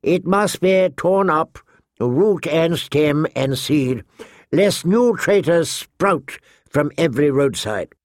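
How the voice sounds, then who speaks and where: A man's voice: fairly high pitch, expressive, takes it slowly, no echo, studio quality, voice pushed up. A man voicing a pompous elderly court scholar who intones solemnly in a fantasy audiobook. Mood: grave.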